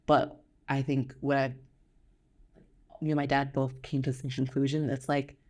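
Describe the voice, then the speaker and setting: A woman's voice: rumbling low, little pitch movement, moderate pace, darkish tone. A woman with an American accent chatting casually as a podcast guest, in a conversational way. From United States. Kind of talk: casual chat.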